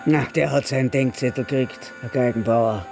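A woman's voice: very deep voice, moderate pace, darkish tone, faint music behind. An actress in a German radio drama playing a bitter, grieving but defiant woman who survived a prison camp. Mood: bitter recounting.

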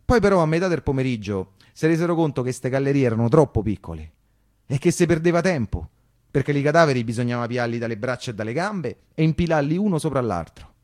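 A man's voice: mid-range voice, animated, quick pace, a little mellow, natural voice. An actor playing an anguished working-class Roman man, telling of wartime horrors in an insistent, colloquial theatrical monologue with a Roman accent. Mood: grim, vivid. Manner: insistent repetition, rhetorical questions, colloquial. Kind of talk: theatrical radio monologue storytelling.

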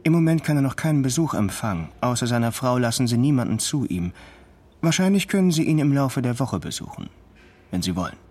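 An actor playing a matter-of-fact university colleague in a radio drama, factual and unhurried. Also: male voice, medium pitch, sing-song, darkish tone.

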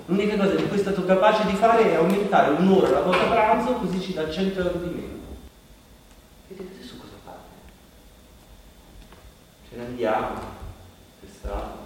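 An actor playing an anxious, haunted man, in a halting, repetitive, self-questioning theatrical monologue. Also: a little high, close to an everyday voice. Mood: frustrated. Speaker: stage actor. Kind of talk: theatrical monologue broadcast on radio. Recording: very echoey hall, distant mic, faint noise.

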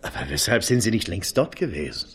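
A man's voice: low-pitched, very animated, a little fast. An actor playing a lonely, proper jobless office man in his fifties, formal and hesitant, in a radio crime drama. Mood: cautious, polite. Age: 50s. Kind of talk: 1970s German radio crime drama acting.

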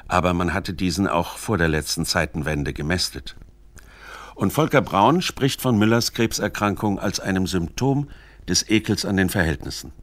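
A man's voice: deep voice, a bit bright, dry recording. A man reading scripted narration for a literary radio documentary.

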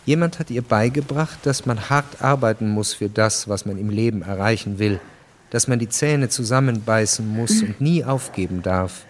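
An actor narrating a historical radio drama as a sober storyteller, measured and matter-of-fact. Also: male voice, lowish voice, a little hiss or hum, close to an everyday voice.

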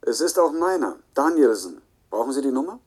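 An actor playing a frank, cooperative colleague in a radio play, answering in a measured, reflective and helpful way. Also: male voice, mid-range voice, very animated, a little mellow, breathy edge. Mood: thoughtful, helpful.

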